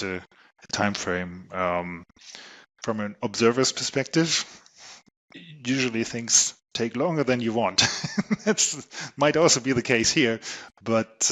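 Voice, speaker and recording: A middle-aged man with a German accent, a guest on an interview podcast, answering with many pauses and fillers. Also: on the low side, fairly bright tone. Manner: hesitant, many ums and you knows. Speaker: guest, solar company technology chief.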